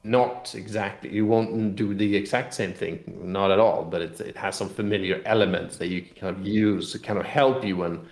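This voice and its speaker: Male game publisher guest, low voice, rambling explanatory chat, roomy echoing sound. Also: fairly even intonation, moderate pace, few pauses, darkish tone. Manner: rambling, explanatory, hedging with "kind of". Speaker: game publisher representative being interviewed. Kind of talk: casual interview chat about a tabletop game.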